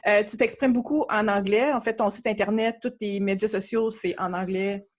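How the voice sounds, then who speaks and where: A woman's voice: medium pitch, fairly even intonation, normal speed. A woman with a Québécois accent hosting a podcast, asking friendly, curious questions. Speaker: podcast host. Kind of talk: interview conversation.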